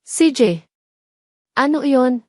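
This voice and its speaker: A woman's voice: high-pitched, animated, slow pace, no room sound, studio quality, voice pushed up, heavily acted. A woman playing a loyal male investigator who speaks with familiar respect and caution in an audio drama. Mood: surprised, familiar.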